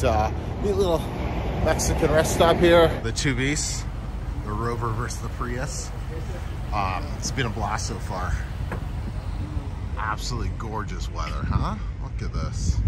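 A man in his thirties talks to his viewers in a chatty, excitable way, filming himself on a handheld camera. He records from inside a moving car and at outdoor rest stops. Road and engine noise runs clearly underneath his voice. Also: mid-range voice. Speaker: car vlogger. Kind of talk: casual road-trip vlog. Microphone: phone or camera held at arm's length. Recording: noisy road and car background.

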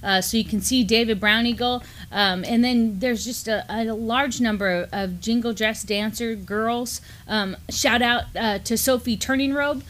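Higher-pitched middle-aged American woman co-hosting. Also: measured pace, hardly any pauses, a bit bright. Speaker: co-host of a community news show. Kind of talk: casual news chat. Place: indoor studio, seated at a desk.

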